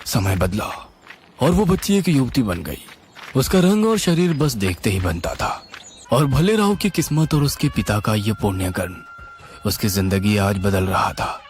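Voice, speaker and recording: A man tells a scripted horror story with suspense and drama. His voice is on the low side and swings expressively in pitch. Music plays clearly behind him. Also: sing-song, measured pace. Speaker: storyteller, narrator.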